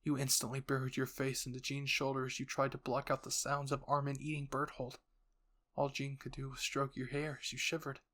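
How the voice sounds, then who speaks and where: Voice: medium pitch, monotone, fairly quick, fairly bright tone. Audio roleplay narrator, middle-register voice, scripted storytelling. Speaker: narrator and voice performer. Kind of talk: scripted fan-fiction narration.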